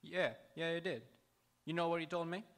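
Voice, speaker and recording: A man's voice: a little high, flat delivery, moderate pace, a bit bright. A man playing a hot-headed, protective young man in an old-time radio crime drama, agitated and emphatic. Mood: bitter. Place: auditorium stage, live radio theatre.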